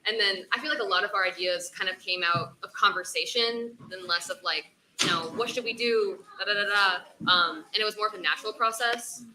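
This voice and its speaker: A young woman student on a stage panel, relaxed and rambling as she explains things. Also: medium pitch, a little fast, low-quality recording. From United States. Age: young adult. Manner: relaxed, rambling, laughs easily. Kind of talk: casual chat. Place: theater stage.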